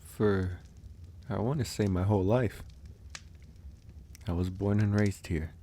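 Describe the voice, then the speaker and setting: A man playing a gentle, reassuring young werewolf who lives alone on a mountain, soft-spoken in an ASMR roleplay. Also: fairly low pitch, steady tone, slow, slight background noise. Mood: relaxed, friendly.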